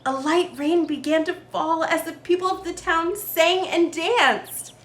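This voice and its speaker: A woman storyteller reading a children's book aloud in an expressive style, leaning on key words. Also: very high-pitched, a little slow, echoey room. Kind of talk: animated children's story narration.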